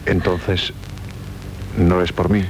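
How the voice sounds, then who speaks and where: An actor playing a humble, devoted lieutenant in theatrical radio drama, hesitant and deferential. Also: male voice, little pitch movement, takes it slowly. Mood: gentle, deferential. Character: humble, devoted soldier, shyly lovestruck lieutenant. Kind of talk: theatrical 1970s Spanish radio drama acting. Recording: noisy, rumbling 1970s radio recording.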